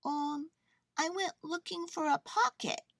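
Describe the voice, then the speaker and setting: Woman voicing a high, excitable little toy bear. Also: slow pace, vocal fry, no room sound, clean studio sound, heavily acted. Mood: sheepish. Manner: wide-eyed, delighted exclamations. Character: small excitable childlike toy bear, eager and innocent.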